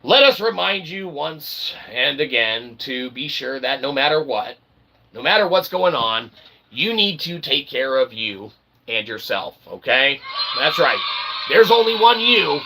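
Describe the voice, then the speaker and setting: A man's voice: mid-range voice, very animated, unhurried, bright, forceful tone, a little hiss or hum, rough recording. A man hosting a livestream, rambling through a monologue and checking in with "okay?" and "all right". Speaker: livestream host.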